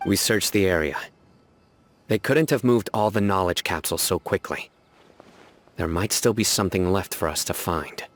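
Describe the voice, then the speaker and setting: An actor voicing a stern, composed young warrior in a game, speaking in a measured, serious way. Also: male voice, low voice. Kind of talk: game cutscene voice acting.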